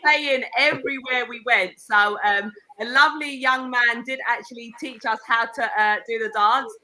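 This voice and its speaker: A woman's voice: on the high side, steady tone, moderate pace, a loud crowd, poor audio. A woman guest chatting cheerfully and giggling now and then. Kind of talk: casual chat.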